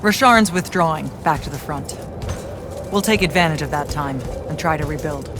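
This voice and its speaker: An actress playing a composed, principled commander in a video game cutscene, measured and dryly teasing. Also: female voice, low voice, noisy background, natural voice.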